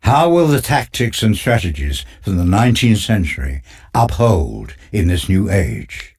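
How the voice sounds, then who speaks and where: A man's voice: low-pitched, very animated, unhurried, darkish tone, breathy edge. A man giving solemn, dramatic scripted narration for a war game's opening. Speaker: game intro narrator. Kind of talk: scripted documentary-style narration.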